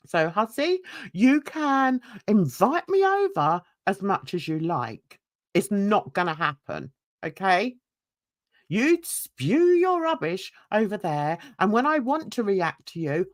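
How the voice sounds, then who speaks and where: A woman's voice: medium pitch, no echo, studio quality. Blunt, ranting older woman. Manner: blunt, indignant ranting with asides to viewers. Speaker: YouTube commentator. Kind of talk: livestream chat reading.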